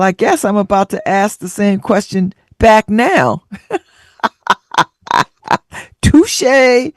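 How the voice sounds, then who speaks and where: A woman's voice: medium pitch, no room sound, studio quality. A woman hosting a radio talk show, reading out listener stories and riffing on them with frequent laughter and sassy asides.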